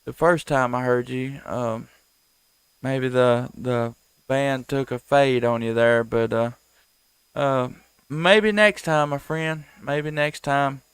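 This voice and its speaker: A man's voice: mid-range voice, fairly even intonation, slow, smooth, no echo. A man, hosting an amateur radio live stream with casual, folksy, friendly chat and station calls. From United States.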